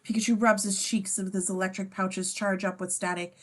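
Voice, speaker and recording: A woman's voice: mid-range voice, flat delivery, moderate pace. A woman reading stage directions in an amateur table read, casual and sardonic, dropping in asides.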